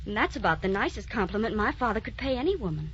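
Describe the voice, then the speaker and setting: Woman acting animated, headstrong lovestruck young woman. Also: medium pitch, fast, close to an everyday voice. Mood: eager, pleading. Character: lovestruck, headstrong daughter of about twenty. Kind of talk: radio drama acting. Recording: muffled old broadcast with clear noise.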